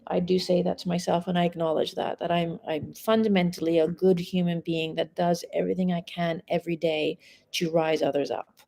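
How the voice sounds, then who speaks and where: A woman's voice: lowish voice, level tone, fairly quick, gravelly, warm tone. A woman in her forties, a mindset coach, talking frankly and casually to a live audience from home, swearing now and then. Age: 40s. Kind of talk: casual live-stream monologue.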